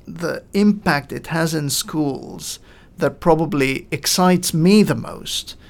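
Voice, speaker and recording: A middle-aged man from Lebanon talks through ideas as a podcast guest, pausing often with small fillers. His voice sits a little high for a man and has a gravelly edge. He sounds thoughtful and methodical. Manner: measured, explanatory, with frequent uh fillers. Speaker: guest, coaching in education expert. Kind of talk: podcast interview answers.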